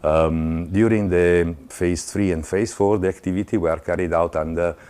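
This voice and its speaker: Man with an extremely low, flat voice, measured and matter-of-fact explainer. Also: smooth. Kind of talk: explanatory talk.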